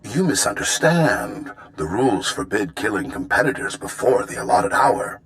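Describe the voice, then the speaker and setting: A man's voice: lowish voice, very animated, moderate pace, a bit bright, rough recording. A man voicing a smug, gloating bounty hunter villain in a video game, theatrical and articulate. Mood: coolly menacing.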